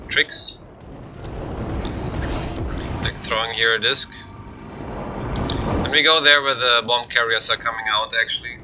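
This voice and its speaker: Male speedrun commentator, non-native English accent, mid-pitched, plain and explanatory, game music clearly behind. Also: fairly even intonation, unhurried, long pauses. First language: not English. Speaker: gamer, speedrunner. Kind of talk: live gameplay commentary.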